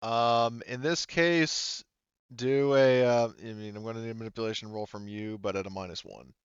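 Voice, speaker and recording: Male game master, low smooth voice, halting improvised narration, dry but slightly dull recording. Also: steady tone, no echo. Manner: halting, thinking aloud. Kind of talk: unscripted narration of a tabletop roleplaying game.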